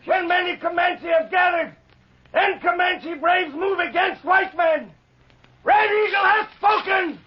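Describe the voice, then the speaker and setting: Angry Comanche chief, slow shouted oratory, broken English, radio western, muffled recording with crowd behind. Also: male voice, very high voice. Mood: fierce, defiant. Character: angry warlike Comanche chief rallying his braves. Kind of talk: old-time radio western acting.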